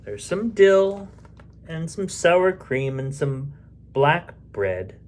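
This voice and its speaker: Animated, unhurried man reading a bedtime story. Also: fairly high pitch. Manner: expressive, unhurried, mutters asides. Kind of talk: animated storybook read-aloud with casual asides.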